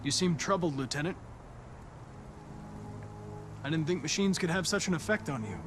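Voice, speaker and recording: An actor playing a calm, polite male android detective who talks in an even, composed way, in a video game cutscene. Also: on the high side, moderate pace, noisy background, close to an everyday voice. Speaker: police investigator partner. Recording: game score music behind. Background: music.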